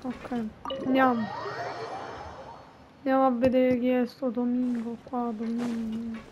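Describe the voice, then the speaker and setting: High, even-toned woman commenting on gameplay. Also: measured pace, muffled recording, noisy background. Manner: informal, muttering reactions, exclaiming. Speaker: gaming YouTuber, host. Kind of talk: casual Italian gameplay commentary. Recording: game music behind the voice.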